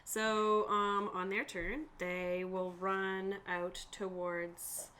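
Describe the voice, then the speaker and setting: A woman's voice: mid-range voice, flat delivery, drawn-out words, pushed and bright. A woman running a tabletop game as game master, explaining the scene informally as she improvises. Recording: faint room noise.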